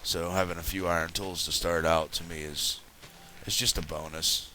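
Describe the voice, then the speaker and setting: A low-voiced man talks through a game early in the morning, his voice raspy and still sleepy. His tone is bright and forward, and he rambles along in a chatty, relaxed way. A faint hiss sits under the recording. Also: moderate pace. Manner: rambling, chatty, self-correcting. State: just woken up. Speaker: let's play host. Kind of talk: casual gameplay commentary.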